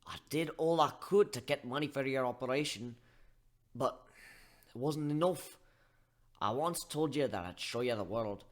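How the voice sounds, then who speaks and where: A man's voice: mid-range voice, a bit bright, close to an everyday voice. Man putting on a blunt giant minotaur. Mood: wistful, grieving. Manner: folksy, blunt, bossy speech. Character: gruff, big-hearted giant male minotaur airship crewman.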